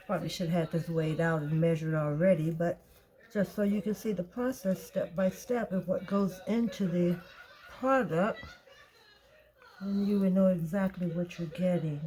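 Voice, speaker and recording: A woman with a tired, raspy voice, talking casually through a soap-making tutorial and thinking aloud as she weighs ingredients. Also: fairly low pitch, steady tone, warm tone, low-quality recording. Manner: slow, chatty, thinking aloud while weighing. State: tired voice, clearing throat. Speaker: soap maker.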